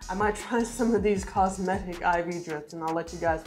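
A woman's voice: lowish voice, moderate pace, soft background music. Chatty young woman with a congested voice. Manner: chatty, animated, addresses viewers directly. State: slightly congested, recovering voice. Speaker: beauty vlogger. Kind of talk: casual vlog tour narration. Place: indoor room at home, talking to camera.

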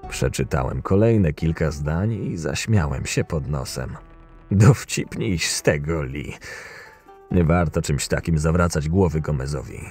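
Man acting Kruk, a deep-voiced sardonic camp enforcer. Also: creaky, a little mellow, exaggerated voice. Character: Kruk, proud short-tempered cynical male camp enforcer narrating his tale. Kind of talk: dramatised first-person narration, fan audio drama.

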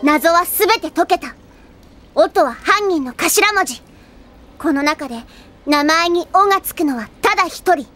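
A woman's voice: very high voice, lively intonation, a little slow, fairly bright tone, faint music behind, exaggerated voice. A woman playing a bossy, self-important teenage girl detective in an anime audio drama, commanding and self-assured. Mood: accusing, triumphant.

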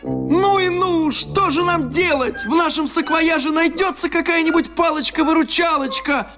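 A woman voicing an excitable young male valet in a cartoon dub, eager and exclaiming. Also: very high voice, moderate pace, music in the background. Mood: alarmed. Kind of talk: dubbed cartoon acting.

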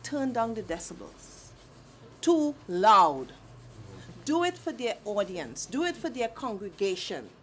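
A woman's voice: a little high, very animated, slow pace, fairly bright tone, slight background noise. A woman neighbor complaining emphatically and with exasperation in an interview inside a small nail salon. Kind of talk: street interview.

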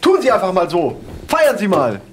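A man's voice: sits high, huge pitch swings, normal speed, soft background music. A man playing a pompous, condescending aristocrat in a roleplay audio play. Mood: scornful, haughty. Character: snobbish, condescending aristocratic gentleman.

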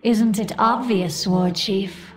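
A woman's voice: mid-range voice, fairly even intonation, takes it slowly, close to an everyday voice. An actress playing a proud, cold undead banshee queen in a video game, speaking in a haughty, defiant manner. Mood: cool, defiant. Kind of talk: dramatic video game acting. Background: music.

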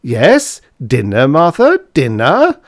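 A pompous, hot-tempered old professor acted by a man reading aloud blusters through a storybook tale. The voice is raised in pitch and loud, swinging widely as it orders everyone about. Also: sing-song, a little fast, no room sound, studio quality, lightly acted. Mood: irritable. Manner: blustering, imperious, shouting. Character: irascible, pompous older male German professor, bellowing indignantly.

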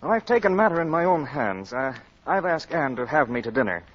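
An actor playing a mild, well-meaning law partner who speaks haltingly in a 1940s radio comedy. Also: male voice, on the high side, very animated, slightly rough. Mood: hesitant, earnest. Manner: halting, hesitant. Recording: dull 1940s radio broadcast.

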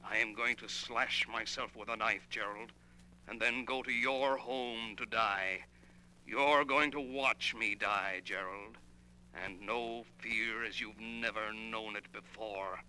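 A man in a 1940s radio drama playing a coldly vengeful man, speaking deliberately and with menace. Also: lowish voice, fairly even intonation, bright, forceful tone, full character voice. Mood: cold, menacing. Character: vengeful dead man coldly reading out his plan. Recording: noisy, humming old radio recording.

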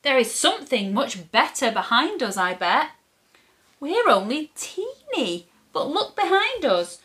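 A woman voicing a small, frightened child pleading in a squeaky voice, in a rhyming children's storybook. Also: big pitch swings, a little slow, a bit bright, heavily acted. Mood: alarmed pleading.

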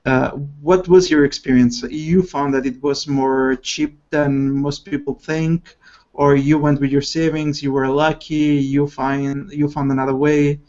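A man's voice: on the high side, little pitch movement, measured pace, darkish tone. A man in his thirties, co-hosting from a small kitchen over a video call, asking long, wordy questions filled with fillers. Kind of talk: casual video call interview. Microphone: laptop webcam on video call. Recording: dull lo-fi video call audio.

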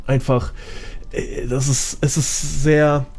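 A man's voice: mid-range voice, flat delivery, takes it slowly, darkish tone. A man giving his opinions as a guest in a casual podcast chat. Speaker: podcast guest, gaming content creator.

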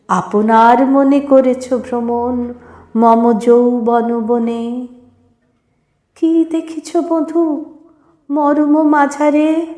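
A woman recites poetry expressively and in a measured way, declaiming phrase by phrase with pauses. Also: high-pitched, reverberant.

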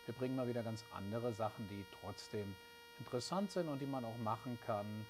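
A man's voice: fairly low pitch, poor audio. A man in his fifties presenting a web show informally to camera as its host. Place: small bright indoor office. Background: music.

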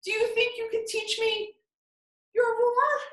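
A woman doing a squeaky, timid little mouse. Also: flat delivery, measured pace, fairly bright tone, roomy sound. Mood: nervous. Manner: squeaky, peeping. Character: tiny timid male mouse, shy but hopeful.

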